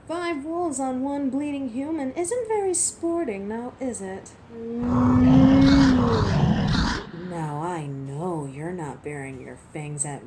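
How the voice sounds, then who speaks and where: A woman's voice: on the low side, heavy background noise. A woman playing a cocky, teasing werewolf in a scripted audio roleplay, sardonic and protective with an edge of menace, speaking to the listener. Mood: cocky, taunting. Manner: sardonic, taunting, playful.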